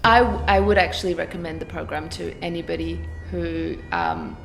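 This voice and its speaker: Earnest woman, low-pitched. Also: big pitch swings, moderate pace, vocal fry, slight background noise. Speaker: program graduate. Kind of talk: interview testimonial.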